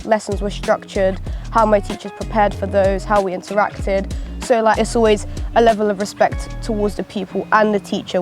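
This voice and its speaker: Teen schoolgirl, mid-pitched, easy chatty interview delivery over faint music. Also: a little mellow. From East London, England. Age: late teens. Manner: chatty, many fillers and restarts. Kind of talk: casual interview.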